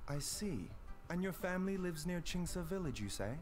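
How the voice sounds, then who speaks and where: A man playing a gentle, courteous doctor in a video game, speaking politely and formally. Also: sits high, very animated, normal speed. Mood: calm, inquiring. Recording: game music underneath.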